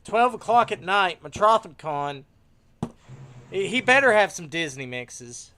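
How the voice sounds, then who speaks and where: A man hosting a live stream in casual chat, excitable and quick to laugh, often trailing off and restarting his sentences. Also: high-pitched, slight background noise. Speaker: stream host.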